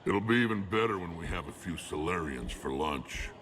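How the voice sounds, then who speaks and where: An actor playing a gruff, proud, battle-hardened alien warlord in a video game, with a gravelly rumble and blunt, dry humor. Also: male voice, animated, normal speed, fairly bright tone. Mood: wry. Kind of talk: video game cutscene acting.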